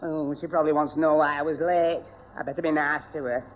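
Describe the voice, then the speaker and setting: A man's voice: fairly high pitch, fairly even intonation, quick pace, close to an everyday voice. A dim young boyfriend played by a man, easily riled and tripping over his words. He is indignant and hesitant in the manner of radio sitcom acting. The recording is dull and echoes in a hall. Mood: flustered, indignant.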